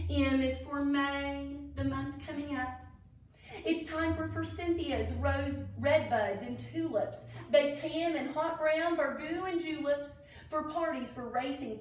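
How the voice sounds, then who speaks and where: Woman, children's read-aloud host. Higher-pitched, unhurried, enthusiastic with young listeners. Very echoey, distant mic. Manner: addresses children, enthusiastic asides. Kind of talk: children's picture book read-aloud with asides. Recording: echoey room, distant microphone.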